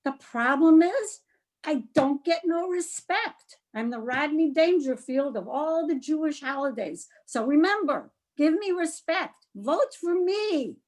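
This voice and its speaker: High-pitched woman, comically indignant amateur performer. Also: animated, a little slow, darkish tone. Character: indignant holiday demanding respect and votes. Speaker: performer in a congregation's reader's theater. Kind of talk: amateur comic scripted monologue.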